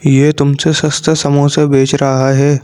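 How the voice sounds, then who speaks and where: A man's voice: on the high side, completely flat delivery, measured pace, darkish tone, clean tone, no room sound, close to an everyday voice. A man voicing a blunt, discouraging village customer in a cartoon moral story, matter-of-fact in manner. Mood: dismissive.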